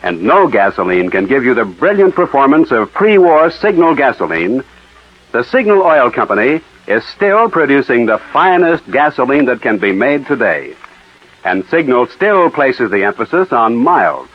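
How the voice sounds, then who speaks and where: A man announcing and selling for a sponsor on 1940s radio, polished and emphatic. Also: mid-range voice. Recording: muffled, rough 1940s radio recording.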